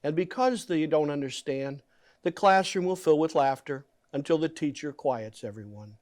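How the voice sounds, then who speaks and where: A man reading a children's picture book aloud in a gentle, deliberate storytelling manner, leaning on key words. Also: on the high side. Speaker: guest story reader.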